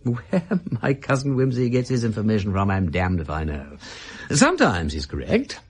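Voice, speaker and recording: A sly gentleman adventurer in a British radio drama. His husky voice swoops extravagantly as he mocks and teases, savouring each ornate phrase with languid relish. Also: on the low side, big pitch swings, moderate pace, full character voice. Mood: amused. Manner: arch, languid, teasing, flourishing turns of phrase. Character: witty, mocking gentleman adventurer posing as a shady informer.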